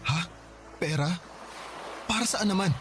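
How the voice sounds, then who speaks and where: An actor playing a humble, polite young father in a radio drama, soft-spoken and respectful. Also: high voice, measured pace, background noise. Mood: earnest.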